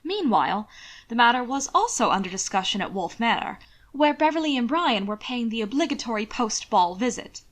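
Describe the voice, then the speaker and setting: A woman's voice: a little high, sing-song, fairly quick. A woman reading scripted fiction as an audiobook narrator.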